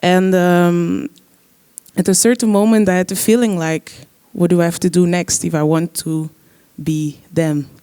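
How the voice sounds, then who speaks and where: Young man musician, mellow smooth voice. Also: high voice, steady tone, no room sound. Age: young adult. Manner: searching, halting phrasing with many fillers. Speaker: musician guest, trombone player. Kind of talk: panel discussion answer.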